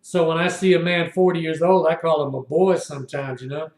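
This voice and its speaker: High-voiced man in his sixties, echoey room. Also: little pitch movement, a little fast, a little mellow. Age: 60s. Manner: indignant, repetitive storytelling. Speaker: video blogger. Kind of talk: rambling first-person monologue.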